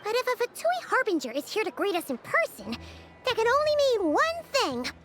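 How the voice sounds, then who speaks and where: Game sidekick: squeaky little fairy girl, cheeky, excitable, extremely high and animated, music underneath. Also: normal speed, heavily acted. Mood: indignant. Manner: squeaky, speaks of herself in third person. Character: tiny childlike female fairy companion, cheeky, excitable. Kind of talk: cartoonish scripted game dialogue. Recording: music behind the voice.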